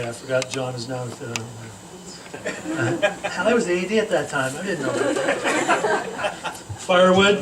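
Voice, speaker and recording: A man reading a comedic roast speech aloud to a gathering, teasing with deliberate pauses. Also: fairly high pitch. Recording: echoey room, distant mic, noisy background.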